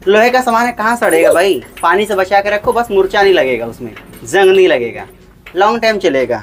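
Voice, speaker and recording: Chatty man pitching his unboxing. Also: lively intonation, fairly quick. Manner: chatty, keeps addressing viewers as brother; repeats points for emphasis. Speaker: vlogger. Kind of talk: casual unboxing vlog.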